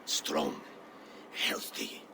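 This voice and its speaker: An actor playing an old, frail Norse chieftain, proud and fatherly, with a gravelly voice. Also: very slow, bright, forceful tone, heavily acted. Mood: warm. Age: older. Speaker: aging jarl of a Norse clan. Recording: game audio with ambient noise.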